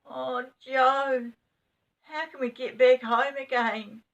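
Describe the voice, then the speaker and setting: Woman playing an anxious, high-pitched young girl. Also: fairly even intonation, slow, vocal fry, a bit bright, dull audio, exaggerated voice. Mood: frightened. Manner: exclaiming, worried. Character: anxious young girl, easily frightened and puzzled.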